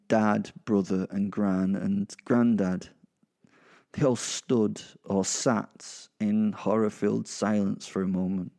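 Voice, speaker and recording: A man's voice: on the low side, vocal fry, a little mellow. A man narrating a first-person horror comedy audio drama in a gleeful, sardonic, sweary manner. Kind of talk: first-person darkly comic horror storytelling, in character.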